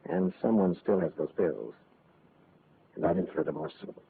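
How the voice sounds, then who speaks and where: Deep, guarded businessman, tinny radio. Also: very animated, moderate pace, vocal fry, heavily acted. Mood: resigned, reserved. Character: guarded, formal, wealthy married male engineer. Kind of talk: 1940s radio drama acting. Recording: tinny, noisy 1940s radio recording.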